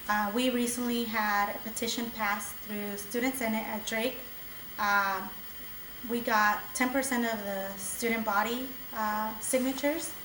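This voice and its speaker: A young woman from El Salvador gives a personal testimony to a campus meeting, earnest and conversational, stumbling into restarts. Her voice sits a little high but stays fairly level. She is picked up from across an echoey room. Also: background noise. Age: young adult. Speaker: student speaker at a community meeting. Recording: echoey room recorded from a distance.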